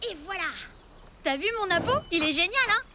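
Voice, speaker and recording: A woman's voice: extremely high pitch, lively intonation, brisk, very noisy. A woman voicing a cheerful young boy who loves his dinosaur friend, in a cartoon. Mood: eager. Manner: eager, calling out, childlike. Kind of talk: cartoon voice acting.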